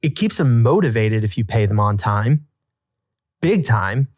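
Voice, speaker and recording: Guy doing podcast banter, middle pitch, really emphatic and slangy, dry studio sound. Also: unhurried, no echo. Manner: animated, emphatic, repeats phrases, mild slangy exclamations. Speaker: podcast co-host. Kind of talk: casual podcast banter and commentary.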